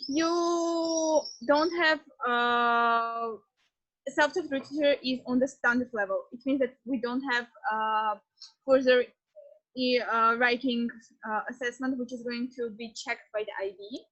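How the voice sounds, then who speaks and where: Hesitant young woman, high voice. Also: a bit bright, highs cut off. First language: Armenian. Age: young adult. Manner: hesitant, many fillers and restarts. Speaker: student explaining a course. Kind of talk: informal explainer talk to prospective students.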